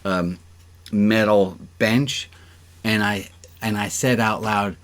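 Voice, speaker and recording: A man's voice: lowish voice, steady tone, takes it slowly. Informal, joking man leading a service. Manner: conversational, joking, encouraging participants. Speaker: rabbi leading an online service. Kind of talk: informal interactive sermon, leading exercises.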